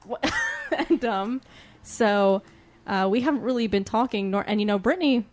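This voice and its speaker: A woman's voice: mid-range voice, expressive, normal speed, a little hiss or hum. A woman co-hosting a podcast in casual, giggly, bantering chat. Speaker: podcast co-host.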